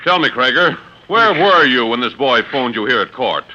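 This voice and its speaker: An actor playing a composed, shrewd, authoritative judge in 1940s radio drama style. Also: male voice, mid-range voice, lively intonation, moderate pace, sharp tone. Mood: shrewd, deliberate. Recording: muffled vintage radio recording.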